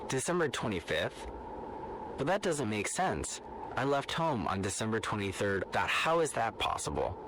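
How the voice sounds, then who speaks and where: A man's voice: on the low side, sing-song, normal speed, heavy background noise. A man playing a bewildered, anxious traveller in a horror animation, questioning and talking to himself. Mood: confused, frightened. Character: bewildered, frightened male traveller lost in a haunting. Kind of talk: scripted horror animation voice acting.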